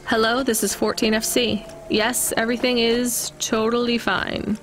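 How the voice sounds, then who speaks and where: A woman's voice: on the high side, flat delivery, normal speed, fairly bright tone, slight background noise, lightly acted. An actress playing an earnest, polite rookie courier woman in a scripted video game. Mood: earnest, unsure. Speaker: delivery driver.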